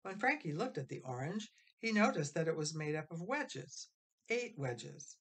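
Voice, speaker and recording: A woman reading a children's story aloud as expressive narration, dramatic, with drawn-out emphasis on key phrases. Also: deep voice, breathy edge. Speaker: storybook reader.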